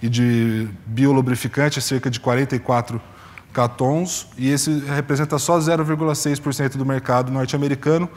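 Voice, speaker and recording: A man in his thirties from Brazil presents at a conference, explaining steadily while pausing on fillers and restarting phrases. His voice sits at an ordinary middle pitch. He speaks into a lapel mic, and a long hall reverb trails behind him. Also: steady tone. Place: conference stage in a large hall.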